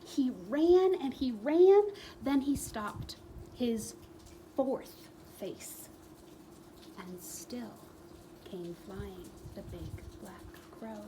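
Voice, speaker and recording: Woman, children's storyteller, slightly high pitch, very unhurried, expressive, dramatic emphasis, noticeable background noise. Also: low-quality recording. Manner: dramatic pauses and repeated emphatic phrases. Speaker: storyteller reading a children's book. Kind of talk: expressive read-aloud for young children.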